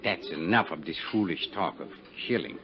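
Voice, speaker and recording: An actor playing a shady, suave gangster in a 1950s radio drama, with a French accent and an oily, evasive, falsely courteous manner. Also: male voice, deep voice, normal speed, a little hiss or hum, close to an everyday voice. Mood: smooth, guarded. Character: shady, suave Algiers gangster, sly and menacing. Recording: muffled 1950s radio recording, crowd murmur behind.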